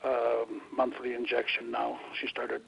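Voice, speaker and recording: A man's voice: medium pitch, monotone, unhurried, creaky, highs cut off, a little hiss or hum. An Italian-born man calling into a radio show, asking hesitant questions full of fillers and stammers. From Italy. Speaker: radio caller. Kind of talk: casual phone-in questions.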